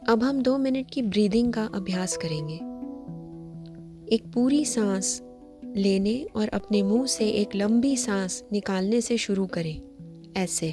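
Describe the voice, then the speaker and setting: A woman leading a scripted guided meditation, giving gentle, measured instructions. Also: mid-range voice. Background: background music.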